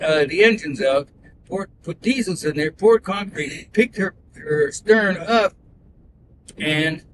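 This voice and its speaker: Rambling man, high voice, road rumble. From born in Belgium, raised in Canada. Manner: folksy, digressive, with frequent "uh" and restarts. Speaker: rideshare passenger telling sailing stories. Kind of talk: rambling casual storytelling. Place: inside a moving car. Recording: hidden mic in a car, road noise.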